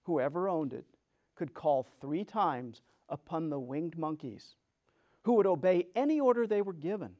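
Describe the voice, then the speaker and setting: Male storyteller, slightly high voice, theatrical and deliberate, for dramatic narration of a children's tale. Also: expressive, dull audio.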